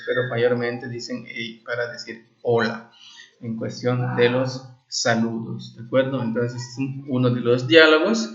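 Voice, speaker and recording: A man gives an informal language lesson in a mid-range voice. He explains examples and translations step by step, often adding short check-in questions. The recording is dull and lo-fi, with clear room echo. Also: medium pitch, a bit bright. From Valladolid, Yucatán, Mexico. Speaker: Maya language teacher.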